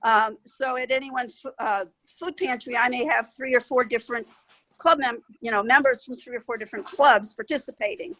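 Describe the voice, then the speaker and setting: A woman's voice: fairly high pitch, steady tone, brisk, bright, forceful tone, no echo, rough recording. A woman taking part in a video call meeting, explaining her situation in unscripted talk. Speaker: meeting participant.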